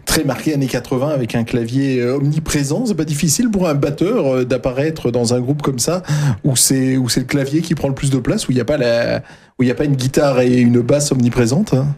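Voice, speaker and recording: A man hosting a radio interview, chatty and quick to laugh, with frequent fillers. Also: medium pitch, darkish tone, slightly rough. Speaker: radio host.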